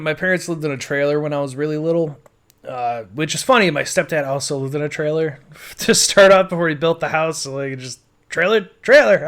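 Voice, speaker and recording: A man's voice: fairly high pitch, clear, resonant tone. A man with an American accent telling personal stories casually, rambling informally and swearing. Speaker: podcast host.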